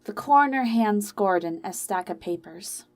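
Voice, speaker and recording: A woman's voice: mid-range voice, animated, normal speed, over music. A woman reading scripted narration for an amateur audio drama story.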